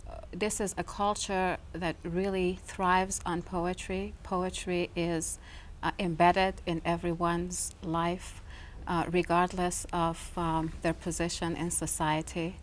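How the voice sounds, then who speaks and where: Soft-spoken woman. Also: lowish voice, level tone, slow pace, slight background noise. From Iran. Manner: soft-spoken, thoughtful, many ums. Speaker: guest, author. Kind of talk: interview conversation. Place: television studio.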